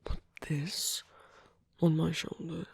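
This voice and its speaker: Casting: male actor as flirtatious villain for romantic ASMR audio drama; very breathy, mellow, gently teasing, close and intimate. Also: a little high, flat delivery, takes it slowly, no echo, exaggerated voice. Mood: playful, reassuring. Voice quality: breathy, soft, half-whispered. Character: suave flirtatious male villain wooing a hero at a masquerade. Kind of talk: ASMR roleplay, intimate scripted monologue.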